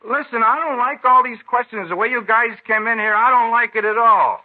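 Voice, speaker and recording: A man's voice: very high-pitched, brisk. A man acting a tipsy, evasive, touchy American suspect who rambles in a 1950s radio crime drama, on an old tinny recording. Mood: touchy, defensive. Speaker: radio actor.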